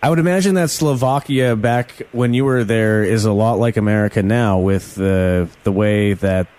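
A man's voice: fairly low pitch, darkish tone. A man hosting a political interview in a chatty way, with sarcastic asides. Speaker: interviewer host.

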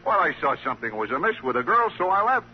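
A brash nightclub comic in a vintage radio play. He has a high voice that leaps about in pitch, full of pushy, sardonic patter. The recording is old and band-limited. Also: very animated, fast talker, slightly rough, muffled recording. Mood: defensive, glib. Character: brash, wisecracking small-time nightclub comedian, desperately jokey. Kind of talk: old-time radio drama acting.

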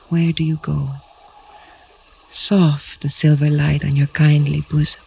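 An actress playing a vengeful, grieving young Russian noblewoman in 1950s radio drama, slow, solemn and lyrical, murmuring her lines. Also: deep voice, vocal fry, mellow, husky, exaggerated voice. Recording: dull vintage radio recording.

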